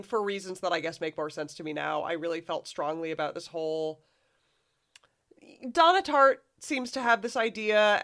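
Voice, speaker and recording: A woman's voice: on the low side, a bit bright. A woman chatting casually as a podcast guest, in a wry, self-mocking way. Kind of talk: casual chat.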